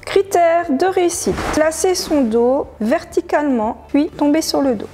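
A woman in her thirties, a gym coach, giving clear step-by-step exercise instructions in a living room. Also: sits high, expressive, a little slow, mellow, faint music behind.

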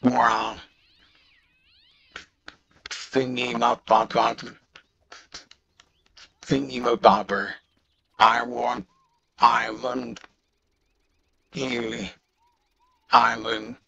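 A man giving casual gameplay commentary through a voice changer, gravelly and raspy, in short, repeated exclamations. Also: mid-range voice, flat delivery, very slow, pauses often, bright, forceful tone, highs cut off. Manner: short, fragmented exclamations, often repeating words. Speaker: gamer recording gameplay.

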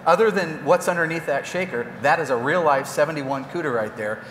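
A man's voice: a little high, fairly quick, a bit bright, a little hiss or hum. A man in his fifties, a car restorer, chatting casually and jokingly on a press event stage. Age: 50s. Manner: brash, joking, enthusiastic. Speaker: car restorer and show guest. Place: large convention hall stage. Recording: echoey convention hall with faint ambience.

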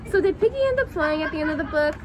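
Young woman with a high voice reading aloud. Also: animated, racing, over a noisy crowd. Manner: friendly, teacherly. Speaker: student reading aloud to a class. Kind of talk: read-aloud with discussion. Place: outdoor schoolyard.

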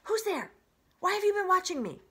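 A woman voicing a theatrical, easily flattered female woodland creature in a storybook, with a dramatic manner. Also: very high voice, brisk, voice pushed up, exaggerated voice. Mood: suspicious.

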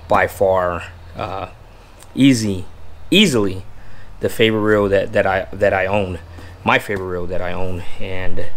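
A man in his forties hosting a fishing channel, unboxing gear in his garage and talking in a halting, earnest way with many restarts. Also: lowish voice, slight background noise. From Texas. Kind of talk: casual unboxing vlog. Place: open garage, indoors by the door. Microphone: camera in front at close range.

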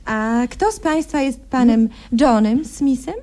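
A woman's voice: high-pitched, a little slow, warm tone, slight background noise. An actress playing an officious female radio interviewer in a satirical sketch, formal, gushing and full of official phrases. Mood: ceremonious, gushing. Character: officious, ceremonious female radio interviewer visiting a married couple.

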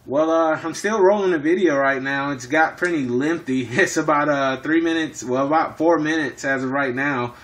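A man's voice: a little high, normal speed, few pauses, a bit bright. A man in casual two-way radio chat, speaking in operator jargon and often repeating himself. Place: indoors in an apartment.

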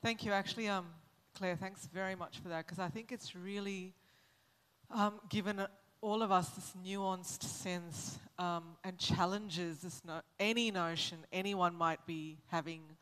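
A woman's voice: on the low side, steady tone, a little slow, a bit bright. A woman moderating a panel, thanking speakers and posing questions in an encouraging manner. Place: studio lounge set. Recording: reverberant room.

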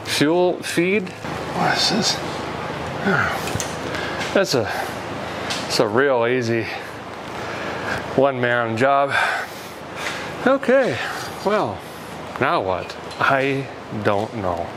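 A man in his thirties talking casually as he works, with a folksy, deadpan, rambling humor, as host of a garage repair vlog. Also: medium pitch, extremely slow, pauses often. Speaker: host of a car repair show. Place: large auto repair garage with concrete floor. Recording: clear background noise, garage ambience.

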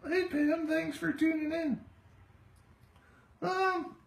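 A performer voicing a vain, sassy female house cat with a raspy, drawling voice, live-streaming from a home kitchen on a phone. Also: very high voice, steady tone, reverberant, exaggerated voice. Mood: smug. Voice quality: raspy, gravelly. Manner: slow, drawling, full of herself. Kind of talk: live-stream pet narration. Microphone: phone camera held by the speaker.

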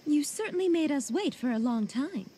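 A woman's voice: high-pitched, expressive, normal speed, warm tone, a little hiss or hum. A woman playing a haughty, commanding young noblewoman fighter in a video game cutscene. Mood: composed, haughty.

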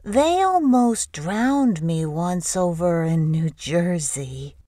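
Slow, mumbling drunk young woman. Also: fairly low pitch, lively intonation, dark tone, no echo, studio quality. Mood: sullen. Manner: slurred, mumbling. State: tipsy.

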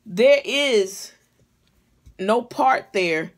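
A woman's voice: medium pitch, expressive, extremely slow. Blunt, sweary middle-aged woman vlogger. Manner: blunt, sweary, comically exasperated. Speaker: vlogger reviewing a wig. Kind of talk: casual vlog chat. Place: small living room or bedroom at home, indoors. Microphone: phone or webcam at arm's length.

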